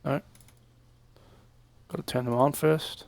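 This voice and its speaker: A young man giving a casual, informal software tutorial, talking through each step in a laid-back, slangy way at a desk microphone. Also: medium pitch, steady tone, measured pace, no echo. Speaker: music production tutorial presenter. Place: small home room, indoors. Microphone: desk microphone on a boom arm.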